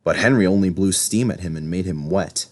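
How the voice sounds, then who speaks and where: Low-voiced man reading a children's storybook. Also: fairly quick, a little mellow. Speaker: storybook reader.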